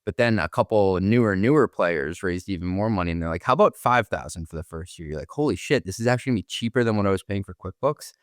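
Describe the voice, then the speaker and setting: Low-voiced man in casual podcast talk. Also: animated, fast, few pauses, no echo, studio quality. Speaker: podcast co-host.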